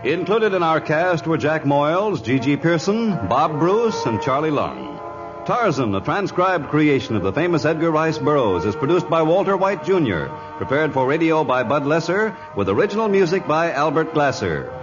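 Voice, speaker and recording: Old time radio announcer guy, very lively swings, highish voice, music behind. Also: moderate pace, dull audio. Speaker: announcer reading credits.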